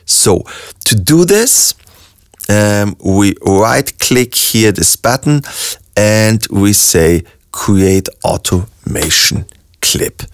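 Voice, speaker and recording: A young man with an Austrian German accent, a music producer calmly walking through a software tutorial step by step with frequent ums. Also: lowish voice, extremely slow, vocal fry, no echo, studio quality. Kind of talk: screen-recorded software tutorial.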